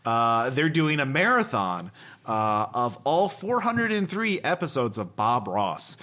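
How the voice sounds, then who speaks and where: Male host, animated intonation, medium pitch, casual chat, wry and joking. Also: unhurried. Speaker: podcast host.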